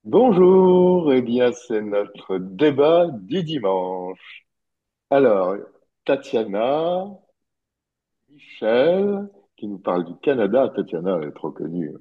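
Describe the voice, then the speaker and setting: A man's voice: mid-range voice, very animated, slow pace. A man hosting a casual Sunday online debate, introducing his guests and asking them questions. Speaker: host. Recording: dull lo-fi audio.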